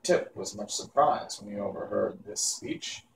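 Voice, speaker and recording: A man's voice: fairly low pitch, animated, unhurried, vocal fry. Man reading a story in an echoey room. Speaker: storybook reader. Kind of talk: reading a children's novel aloud. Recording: echoey room, distant mic, faint hiss.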